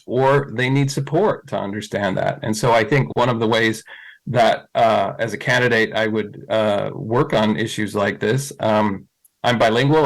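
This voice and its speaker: A man's voice: mid-range voice, vocal fry, rough recording. A middle-aged man answering questions as a school board candidate, thoughtful, with many fillers and restarts.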